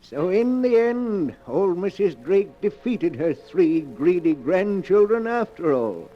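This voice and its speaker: Eerie, high-voiced storyteller, slow and swooping. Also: male voice, sing-song, over music, exaggerated voice. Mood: sardonic. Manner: theatrical, ominous, deliberate. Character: eerie, sardonic male keeper of a sealed book. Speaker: host of a mystery anthology. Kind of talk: 1940s radio horror narration. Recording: muffled old 1940s radio recording.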